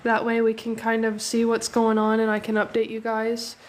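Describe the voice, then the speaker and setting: A young woman hosts a casual drawing stream from home, sounding slightly sniffly after a cold. Her voice sits a little higher than average, and she chats easily with viewers, with quick thanks and frequent laughs. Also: completely flat delivery. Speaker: art livestream host. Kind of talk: casual live drawing chat.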